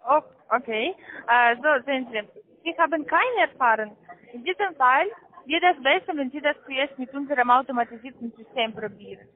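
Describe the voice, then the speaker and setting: High-pitched foreign-accented woman on phone. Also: expressive, moderate pace. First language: not German. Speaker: call centre account manager. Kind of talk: sales pitch on a phone call. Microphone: telephone. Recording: muffled narrow-band phone line.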